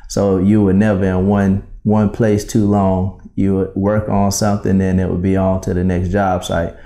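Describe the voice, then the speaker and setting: A man from South Carolina, telling his story as a business owner in an interview, polite and measured with frequent ums. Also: low-pitched, little pitch movement, dark tone.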